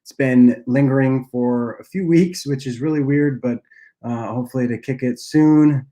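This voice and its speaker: A young man with a nasal voice from a cold, casually answering viewer questions on a livestream and often clearing his throat. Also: mid-range voice, moderate pace. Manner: relaxed, loose delivery with frequent uhs and throat clearing. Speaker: app cofounder and dietitian hosting a Q&A livestream.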